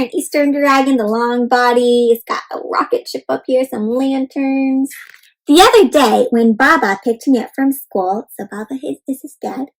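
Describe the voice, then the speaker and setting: A woman's voice: sits high, low-quality recording. A woman hosting an interactive storybook read-aloud for young children, often putting questions to her listeners and addressing them as friends. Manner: asks the listeners frequent questions.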